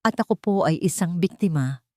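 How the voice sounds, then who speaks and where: A woman's voice: fairly low pitch, animated, brisk, dark tone, dry recording, clean studio sound. A woman voicing a wronged young mother-to-be in a drama, earnest, vulnerable yet steadfast. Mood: resolute.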